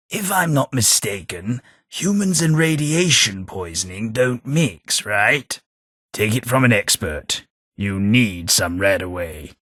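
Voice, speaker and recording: An actor playing a sardonic, centuries-old undead British aristocrat ghoul companion in a game, with a dry, sarcastic posh drawl and a British accent. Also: male voice, on the low side, sharp tone, slightly rough, dry recording, exaggerated voice. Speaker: companion character. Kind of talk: game voice lines.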